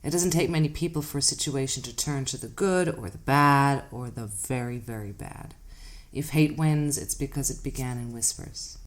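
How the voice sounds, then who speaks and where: Mysterious otherworldly woman drifter, deep female voice, grave, measured and ominous, for audio drama. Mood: quietly ominous. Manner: measured, cryptic, grave storytelling. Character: enigmatic woman hitchhiker, calm, knowing, ominous otherworldly collector.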